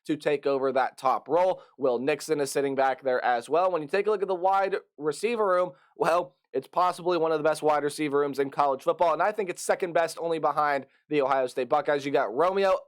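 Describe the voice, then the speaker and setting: A young man hosting a college football prediction video, his voice a bit hoarse as he gets over a cold, chatting upbeat through player names and stats. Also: on the high side, fairly quick, few pauses. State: hoarse, getting over an illness. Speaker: sports prediction channel host. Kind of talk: casual college football preview.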